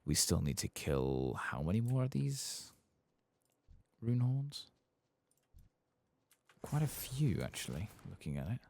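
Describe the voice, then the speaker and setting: A soft-spoken man giving casual, musing ASMR commentary as he plays a game. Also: fairly low pitch, big pitch swings, slow, vocal fry, long pauses. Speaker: gaming ASMR host.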